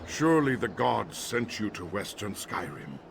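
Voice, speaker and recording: A man's voice: fairly low pitch, very animated, a little slow, creaky, noisy background, heavily acted. An actor playing a devout townsman in a video game, solemn and grateful. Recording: distant and echoey in-game audio.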